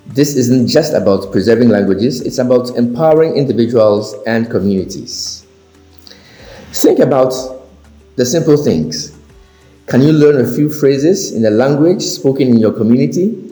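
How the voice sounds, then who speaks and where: A man's voice: mid-range voice, mellow. Man speaking in an echoey room. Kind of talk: prepared speech on language diversity. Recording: echoey room with faint music.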